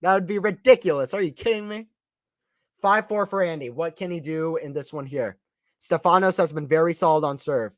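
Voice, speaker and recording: A man with a slight Southern American accent calls a tennis match live for a stream audience, chatting casually between points. His voice sits high and is recorded dry and close, with little room sound. It rises sharply when a rally heats up. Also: fairly quick, dry recording. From Atlanta, Georgia, US. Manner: casual, chatty, answers chat questions. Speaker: play-by-play stream commentator. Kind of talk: live play-by-play commentary on a watchalong stream.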